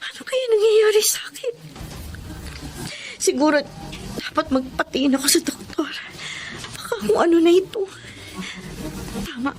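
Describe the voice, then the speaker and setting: An actress playing a meek, suffering betrayed wife in a Filipino radio drama, speaking in a hesitant, tearful, pleading way. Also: female voice, extremely high pitch, animated, normal speed, long pauses, a little mellow, faint music behind. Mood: worried.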